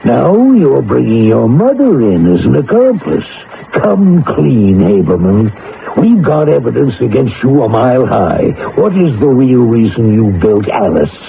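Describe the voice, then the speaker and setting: A man's voice: medium pitch, big pitch swings, measured pace, vocal fry. An actor playing a dogged, literal-minded male federal agent who questions people officiously in a radio drama. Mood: accusing. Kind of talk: 1980 radio drama acting. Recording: lo-fi old radio broadcast, faint noise.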